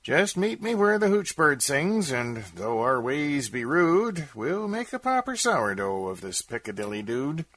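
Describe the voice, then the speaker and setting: A man's voice: fairly high pitch, very animated, measured pace, hardly any pauses, fairly bright tone, pitched above the performer's own voice. A man voicing a grandiose, scheming frontier deacon in a comic verse recital, declaiming with pompous mock solemnity. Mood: sly, scheming.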